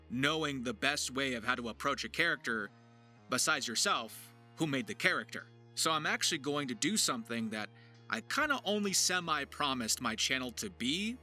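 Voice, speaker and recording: A man's voice: fairly high pitch, sharp tone. A man narrating a video to his viewers as a voice actor and writer, in a chatty, emphatic, informal way.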